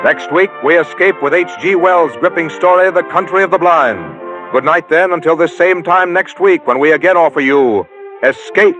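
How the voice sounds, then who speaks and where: A man's voice: fairly high pitch, moderate pace, lo-fi sound. A man reading scripted announcements as a 1940s radio announcer. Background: loud brass music.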